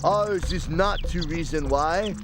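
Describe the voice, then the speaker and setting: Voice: medium pitch, slow, close to an everyday voice. An actor voicing a laid-back male bison chief who talks in drawling surfer slang, in a cartoon game cutscene.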